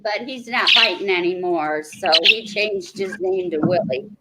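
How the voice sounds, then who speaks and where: A woman's voice: fairly low pitch, a little slow, very noisy. A woman in her forties chatting and teasing on a group video call. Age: 40s. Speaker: person showing mail on a group call. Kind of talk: casual chat.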